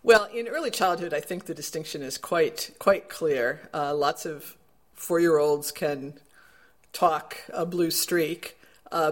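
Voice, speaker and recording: A man being interviewed as an education expert, explaining in a thoughtful, self-correcting way. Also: fairly high pitch. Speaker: education researcher guest. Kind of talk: interview answer.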